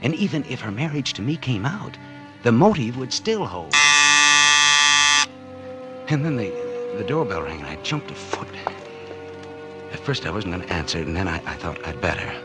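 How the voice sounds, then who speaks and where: Nervous, guilty 1940s radio murderer, music swelling. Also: male voice, fairly low pitch, very animated, normal speed, long pauses. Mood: tense confession. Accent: American. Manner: jittery, stammering, hesitant. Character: nervous, guilty murderer confessing his scheme. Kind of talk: 1940s radio drama acting, first-person narration. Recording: old 1940s radio recording, music behind. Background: dramatic music.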